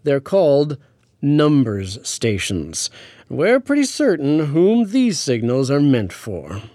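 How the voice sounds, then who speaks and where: An actor playing a learned, patient astrophysicist in an audio drama radio interview, explaining things in a measured, precise, pedantic way. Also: male voice, a little high, wildly swooping pitch, dark tone, dry recording, heavily acted. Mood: measured, grave. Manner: pedantic, measured, hesitant with hedging asides. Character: learned male astrophysicist, patient, precise, mildly amused Cold War expert. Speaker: astrophysicist guest on a radio show.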